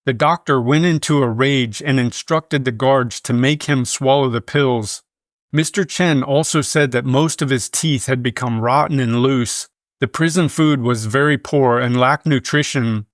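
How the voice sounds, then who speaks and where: Male news reader, mid-range pitch, formal measured delivery, very dry studio-style sound. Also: no echo. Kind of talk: scripted news narration.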